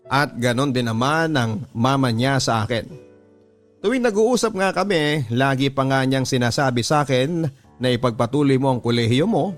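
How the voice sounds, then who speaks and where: A man with a Filipino accent narrating a scripted first-person love story in the style of a radio drama. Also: medium pitch.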